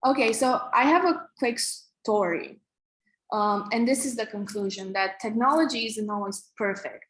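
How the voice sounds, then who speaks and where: A woman in her thirties from Romania with a Romanian accent, teaching a live online coding class in a halting way, full of fillers and restarts. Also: mid-range voice, a bit bright, roomy sound, poor audio. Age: 30s. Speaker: coding instructor.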